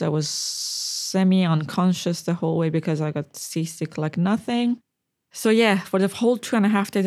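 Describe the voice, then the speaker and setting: A young woman from Iran telling her own story in a relaxed, chatty way, with fillers and small laughs. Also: lowish voice, dry recording, clean studio sound. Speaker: interviewee. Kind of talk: personal storytelling interview.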